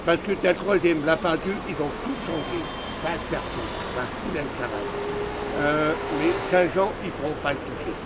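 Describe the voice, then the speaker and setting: Middle-aged man from Lyon, slangy and insistent, higher-pitched voice, casual chat over loud street noise. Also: lively intonation, normal speed. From Lyon, France. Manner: slangy, emphatic, repeats himself. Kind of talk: casual animated chat, giving travel tips. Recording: very noisy outdoor street recording, traffic rumble.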